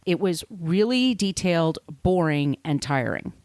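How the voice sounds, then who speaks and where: A woman's voice: fairly low pitch, animated, measured pace, darkish tone, dry recording. A woman hosting a podcast, reading scripted narration with casual, irreverent asides. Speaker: podcast host.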